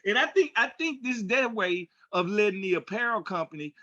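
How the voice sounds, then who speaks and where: A man's voice: sits high, a little fast, no echo, highs cut off. A man co-hosting a casual sports talk show as a former player, telling stories emphatically and acting out the dialogue. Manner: animated storyteller, acts out quoted dialogue, emphatic.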